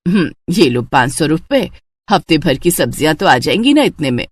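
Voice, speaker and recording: A woman puts on an older mother-in-law for a moral cartoon tale. Her pitch sits low. She is thrifty and watchful, handing out nagging household advice like a born lecturer. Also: sing-song, normal speed, slightly rough, no room sound, full character voice. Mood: instructive. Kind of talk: Hindi moral story cartoon acting.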